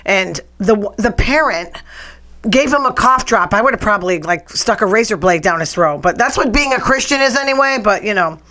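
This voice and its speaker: A woman's voice: medium pitch, animated, moderate pace, sharp tone. A woman talk-show host, ranting casually and bluntly with sarcasm, her voice strained from nearly losing it. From New England. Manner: chatty, blunt, sarcastic rants. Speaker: podcast host.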